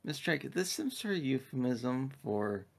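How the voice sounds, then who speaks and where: A man's voice: medium pitch, big pitch swings, moderate pace, vocal fry. A man voicing a polite, puzzled female android assistant in a tabletop game, speaking formally. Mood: politely concerned.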